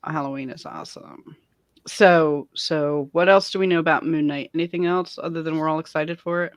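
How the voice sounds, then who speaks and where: Woman, lower-pitched, casual show host, informal, repeats herself, dry recording. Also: fairly even intonation, moderate pace, no echo. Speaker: host of a geek culture panel show. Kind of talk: casual chat.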